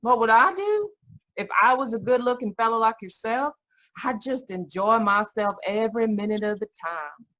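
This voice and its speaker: A woman's voice: a little high, expressive, normal speed, fairly bright tone, rough recording. A woman playing a young, wisecracking hitchhiker in an old-time radio drama, casual, slangy and blunt. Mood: puzzled, dismissive. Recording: live video-call performance.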